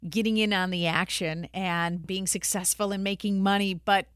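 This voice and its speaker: A woman's voice: medium pitch, steady tone, moderate pace, a bit bright, clear, resonant tone, dry recording, studio quality. A woman hosting an interview, encouraging her guest with short backchannels. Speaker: interview host. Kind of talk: casual interview chat.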